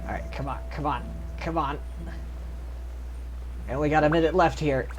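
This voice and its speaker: A man's voice: on the high side, normal speed, background noise. A man doing casual live gameplay commentary, muttering short, repeated reactions to himself as he plays. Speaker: game streamer.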